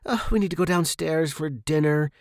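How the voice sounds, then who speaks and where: A man voicing a chatty, nervous young woman in a story, with an excitable, squeaky manner. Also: moderate pace, no room sound, clean studio sound, natural voice. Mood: anxious warning.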